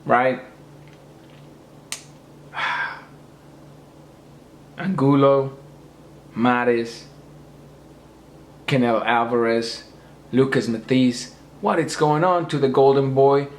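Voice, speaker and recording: A man's voice: mid-range voice, steady tone, very slow, pauses often, reverberant. A man losing his voice, ranting emphatically about boxing and lecturing his viewers. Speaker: boxing commentator. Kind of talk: opinionated rant to camera.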